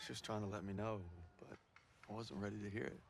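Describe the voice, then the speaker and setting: A tired soldier, low flat gravelly voice, loud music under. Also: male voice, quick pace, a crowd in the background. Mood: subdued. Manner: low, subdued, reflective.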